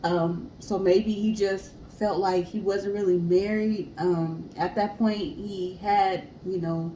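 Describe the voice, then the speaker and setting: A woman's voice: mid-range voice, steady tone, slow pace, dark tone. A woman co-hosting a casual story show, explaining history and speaking directly to listeners. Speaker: podcast co-host and storyteller. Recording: roomy echo, clear background hiss.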